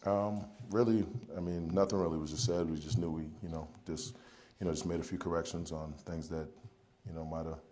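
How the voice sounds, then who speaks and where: A young man with a very deep, dark voice speaks in an even, flat tone from a podium mic in a press room. He answers post-game questions haltingly, leaning on ums and you-knows. A faint hiss sits under the audio. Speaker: football player. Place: press conference room, indoors. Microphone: podium mic in front.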